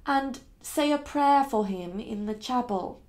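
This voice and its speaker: A woman's voice: extremely high pitch, expressive, brisk, voice pushed up. A woman voicing a polite, resolute, well-bred Victorian young lady with a formal way of speaking in an audiobook. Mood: patient, firm.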